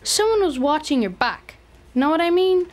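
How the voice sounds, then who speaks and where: Smug kid gamer boy, high voice, sing-song swings, needling, mock-concerned, audio drama. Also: big pitch swings, moderate pace. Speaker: actor.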